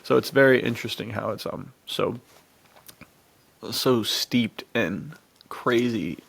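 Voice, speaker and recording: Extremely creaky-voiced man, chatting casually. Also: medium pitch, slow, fairly bright tone. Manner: chatty, rambling, slangy, amused. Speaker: podcast co-host. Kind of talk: casual podcast discussion.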